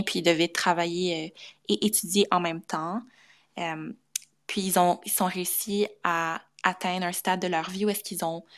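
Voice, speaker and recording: A young woman with a Québécois accent, a medical student guest, speaking casually with many pauses and restarts. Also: fairly low pitch. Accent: Québécois French. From Canada. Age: young adult. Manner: thoughtful, many fillers and restarts. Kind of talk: casual interview talk.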